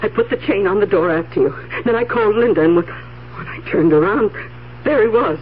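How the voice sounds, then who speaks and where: An actress playing an anxious, indignant elderly aunt in a radio drama, halting and repeating her words as she goes. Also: mid-range voice, close to an everyday voice. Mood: shaken, indignant. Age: older. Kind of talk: 1970s radio drama acting. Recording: muffled, faintly hissy vintage radio recording.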